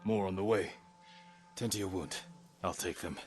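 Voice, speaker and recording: Low, grave samurai warrior, acted by a man. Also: lightly acted. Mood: grave, steady. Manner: terse, measured, stoic. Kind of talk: dramatic video game voice acting. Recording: music behind the voice.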